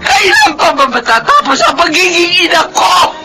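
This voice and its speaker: A woman's voice: extremely high pitch, huge pitch swings, normal speed, raised voice, heavy background noise, heavily acted. An actress playing a weary, overburdened Filipino mother of troubled grown children, in an emotional radio drama style. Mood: tearful outburst. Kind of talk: Philippine radio drama acting.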